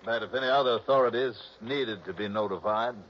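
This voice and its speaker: An actor playing a folksy, drawling small-town police captain in a 1960s radio drama. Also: male voice, lowish voice, brisk, close to an everyday voice. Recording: dull old radio broadcast.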